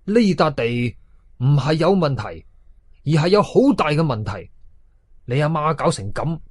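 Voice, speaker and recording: A man voicing a calm, blunt young ghost hunter in a horror story, casual, with a Hong Kong Cantonese accent. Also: fairly high pitch, a little slow, breathy edge, dry recording. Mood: blunt, grave.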